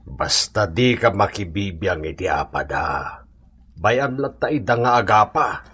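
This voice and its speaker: A man's voice: fairly low pitch, animated, a little slow, pushed and bright, husky, exaggerated voice. A man playing a level-headed, peace-keeping husband and father in a radio comedy drama, reasoning and admonishing. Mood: disapproving.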